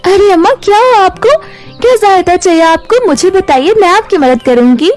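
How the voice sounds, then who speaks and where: An earnest, kind-hearted young girl from a cartoon fairy tale, played by a woman, speaks in a high, emotive voice. Faint music plays behind her. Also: lively intonation, normal speed, darkish tone. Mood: concerned, helpful.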